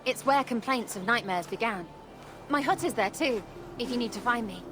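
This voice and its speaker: Actress as a higher-voiced, earnest village woman. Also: normal speed, background noise, lightly acted. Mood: welcoming, helpful. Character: friendly, concerned village woman guiding a warrior. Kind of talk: video game voice acting.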